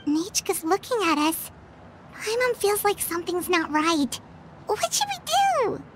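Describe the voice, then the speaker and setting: Very high squeaky voice, tiny bossy childlike game companion, excitable, swooping pitch, eager exclamations. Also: female voice, a little slow, noisy background, exaggerated voice. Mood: worried. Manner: refers to herself in the third person, exclaims eagerly. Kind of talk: animated game dub acting.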